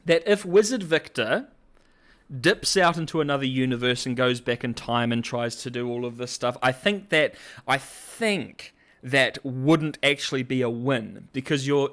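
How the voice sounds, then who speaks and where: A young man hosting a casual livestream, talking in a loose, rambling way. He fills his sentences with "like" and "uh" and breaks into laughter often. His voice sits at an ordinary middle pitch for a man. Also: measured pace. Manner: animated, laughs often, filler-heavy rambling. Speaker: host.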